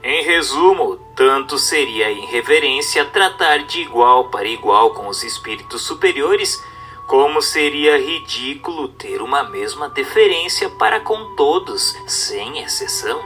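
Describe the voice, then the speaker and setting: Bright-voiced man, solemn animated narrator. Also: medium pitch, a little slow. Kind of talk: formal scripted reading of a religious text. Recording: narration with background music.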